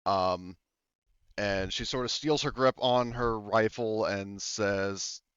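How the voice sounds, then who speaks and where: A man running a tabletop game as game master, describing scenes with many pauses and ums over a voice call. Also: on the low side, a bit bright, smooth, no room sound, lo-fi sound.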